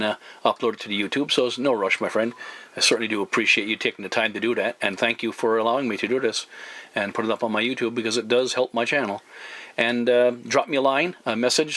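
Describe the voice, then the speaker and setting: A man's voice: medium pitch, fairly even intonation, fast, fairly bright tone. A man having a casual radio chat as a CB operator, friendly and courteous, often repeating himself. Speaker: CB radio operator, YouTube channel host.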